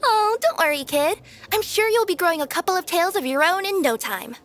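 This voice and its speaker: A woman's voice: extremely high pitch, animated, a little fast, a bit bright, slight background noise. A woman voicing a cheerful two-tailed cat spirit courier in a video game, breezy and playful. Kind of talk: video game character dialogue.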